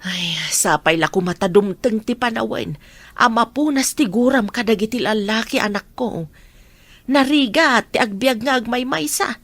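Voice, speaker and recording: A woman's voice: a little high, moderate pace, a bit bright, no room sound. An actress with an Ilocano accent playing a worried, nagging middle-aged mother in a radio drama, pleading and fretful.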